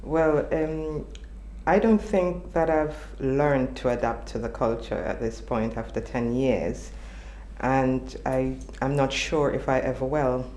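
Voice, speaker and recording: A woman with a Jamaican accent answering interview questions in a measured, thoughtful way. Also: extremely low pitch, a little mellow. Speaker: journalism student interviewee. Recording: echoey room with faint noise.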